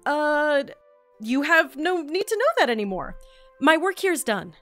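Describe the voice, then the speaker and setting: A woman's voice: high-pitched, sing-song, unhurried, a bit bright, soft background music, heavily acted. A woman playing an eager, awkward, well-meaning agent in an audio drama, hesitant and informal. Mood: flustered. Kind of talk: audio drama dialogue and mission-log narration.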